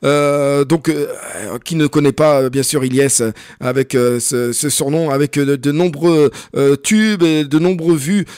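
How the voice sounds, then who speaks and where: Upbeat, halting male radio host. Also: fairly high pitch, fairly even intonation, a little mellow, clean tone, dry recording, studio quality. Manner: upbeat greetings, then halting with many fillers. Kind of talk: live radio interview. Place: radio studio.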